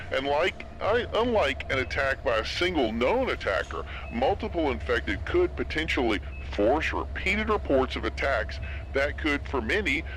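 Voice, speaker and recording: A man playing a formal, serious radio news announcer reading a measured emergency bulletin in an apocalypse audio drama. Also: mid-range voice, pushed and bright. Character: sober male radio news announcer during zombie outbreak. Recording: noisy radio broadcast with background ambience.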